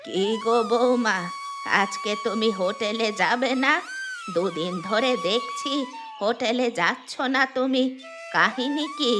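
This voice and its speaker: A woman playing an older village mother-in-law in a cartoon story, asking nosy questions in a slow, drawn-out way. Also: fairly high pitch, over music, close to an everyday voice. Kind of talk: cartoon moral-story voice acting.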